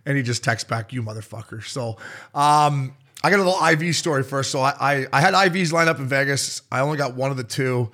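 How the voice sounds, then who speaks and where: A man co-hosting a podcast with a raspy voice, telling stories fast and full of swearing, acting out other people's lines. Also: medium pitch, pushed and bright. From Canada. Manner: fast, profane storytelling with voiced quotes. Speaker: podcast co-host. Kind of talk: casual chat.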